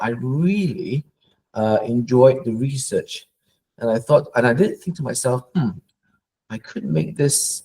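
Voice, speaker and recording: A middle-aged man from Malaysia, a professor answering interview questions in a thoughtful way, often pausing and repeating words as he goes. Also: medium pitch, warm tone, husky, low-quality recording.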